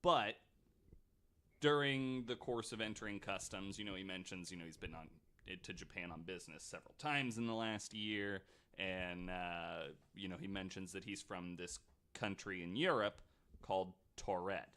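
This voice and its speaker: Low-voiced man hosting a casual podcast. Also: normal speed, a bit bright. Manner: loose and chatty, sprinkles in likes and mild swearing. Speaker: podcast host. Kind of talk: casual storytelling chat.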